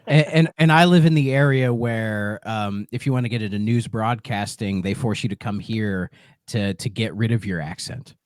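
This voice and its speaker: A man co-hosting a podcast, talking in a casual, animated way with laughs and crude jokes. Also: fairly low pitch, normal speed, no room sound, clean studio sound. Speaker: podcast co-host. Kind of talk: casual chat.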